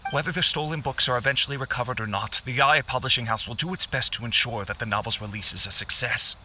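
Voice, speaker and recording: Very bright-voiced polite official. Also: male voice, mid-range voice, fast talker, a little hiss or hum. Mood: courteous, earnest. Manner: formal, deferential. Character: earnest, apologetic, polite male publishing official. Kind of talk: video game voice acting.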